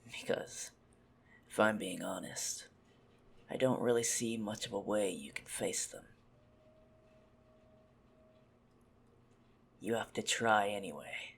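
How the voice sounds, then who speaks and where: Man acting out a slow, gravelly, teasing vampire. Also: lowish voice, a little hiss or hum. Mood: probing, calm. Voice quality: husky, gravelly, breathy. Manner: mocking, slow, condescending, often dropping to a whisper. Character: teasing, menacing, possessive male vampire toying with prey. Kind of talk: ASMR roleplay.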